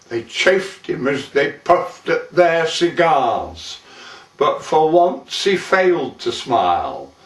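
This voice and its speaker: A man performing a dramatic narrative poem as an old-fashioned monologue, with measured, deliberate, theatrical phrasing. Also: mid-range voice, fairly bright tone. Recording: roomy, echoing, distant microphone.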